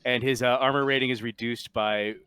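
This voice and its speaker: A man's voice: mid-range voice, steady tone, brisk, bright, forceful tone, smooth. A man running a tabletop roleplaying game as game master, speaking in a casual, somewhat hesitant way.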